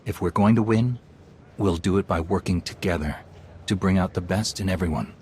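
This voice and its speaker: An actor playing a calm, resolute Jedi commander in a video game, speaking in a measured, earnest, authoritative way. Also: male voice, deep voice, a little hiss or hum, lightly acted.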